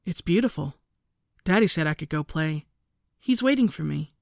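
An eerily calm little sister, as a narrator puts her on, smiling as she talks, her voice lifted slightly above the narrator's own. The sound is dry and close. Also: medium pitch, normal speed, gravelly, no echo. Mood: dreamy.

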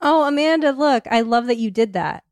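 Brief: female comedian, fairly high melodic voice that goes up and down, dry mic. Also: normal speed, dry recording. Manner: melodic, expressive. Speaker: podcast guest. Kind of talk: improvised comedy banter.

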